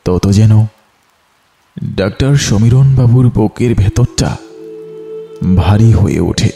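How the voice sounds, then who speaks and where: A man giving solemn, measured, scripted narration of a horror story. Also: fairly low pitch, animated, vocal fry, mellow, soft background music.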